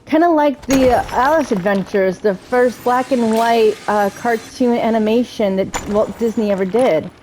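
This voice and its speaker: A woman's voice: a little high, moderate pace, hardly any pauses, darkish tone, a little hiss or hum, low-quality recording. A woman giving casual gameplay commentary, musing aloud as she goes. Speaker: game streamer.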